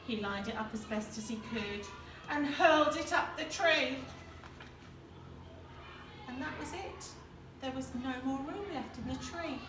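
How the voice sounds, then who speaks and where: A woman reading a children's picture book aloud like a schoolteacher, with expressive, deliberate dramatic emphasis. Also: high voice. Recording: echoey, distant mic, noticeable background noise.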